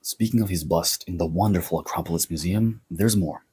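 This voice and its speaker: A man's voice: deep voice, brisk, darkish tone, husky. A man hosting a history video, giving a sarcastic, opinionated commentary with wry asides. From Greece.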